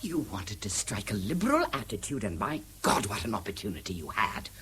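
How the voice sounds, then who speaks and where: An actress with an English accent playing a scornful, indignant wife in a 1970s British radio drama, biting, sarcastic and emphatic. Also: female voice, extremely low pitch, very animated, brisk, fairly bright tone, a little hiss or hum, full character voice. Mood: bitter, accusing. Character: scornful, indignant wife berating her husband.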